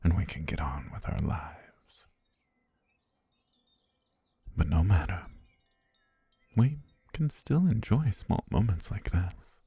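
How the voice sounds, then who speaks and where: A man performs an obsessive butler who keeps his princess captive, speaking with polished, sweet menace. The voice is extremely deep and very husky, breathy and often near a whisper. It is close and dry, made for intimate fantasy ASMR roleplay. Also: big pitch swings, takes it slowly, long pauses, warm tone, no room sound, exaggerated voice. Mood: quietly menacing, then possessive, tender.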